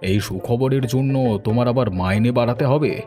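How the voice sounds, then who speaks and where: A man's voice: medium pitch, normal speed, warm tone, faint music behind, natural voice. A man voicing a generous, authoritative village landowner in a cartoon story. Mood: pleased. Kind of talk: Bengali cartoon story dialogue.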